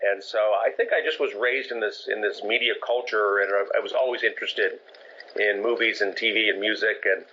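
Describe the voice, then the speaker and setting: Interview guest, man from Japan, low voice, easygoing anecdotes, dull lo-fi audio. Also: brisk. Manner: relaxed storytelling with frequent "uh" fillers. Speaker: film producer, interview guest. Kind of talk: conversational interview answers.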